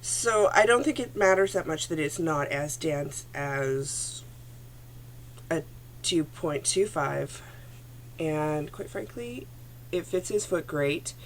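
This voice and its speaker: A woman with a lowish, gravelly voice and a phlegmy throat chats casually about her knitting into a computer camera at home. She rambles and corrects herself as she goes, and a faint hum sits underneath. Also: lively intonation, slow pace. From Canada. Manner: chatty, rambling, self-correcting. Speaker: knitting podcast host. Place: home room. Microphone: computer camera at desk.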